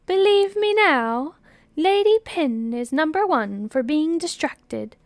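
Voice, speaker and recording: Lady reader doing a pouty young college girl from a novel, high n loud, pushy and complainy. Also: very animated, a little slow, dry recording, exaggerated voice. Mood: triumphant teasing.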